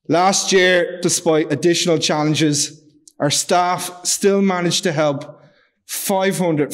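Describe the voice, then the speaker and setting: A loud-voiced man in his fifties delivers a prepared address from a podium microphone in a large ornate room. His pitch sits slightly high, and he reads in a measured, formal way with the odd filler and restart. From Ireland. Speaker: charity executive giving a speech. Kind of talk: reading a prepared speech at a podium.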